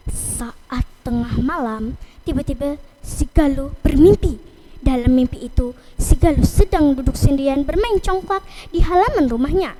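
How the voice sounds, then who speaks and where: Lady storyteller, high voice, telling a kids folktale phrase by phrase, some noise behind. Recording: noticeable background noise.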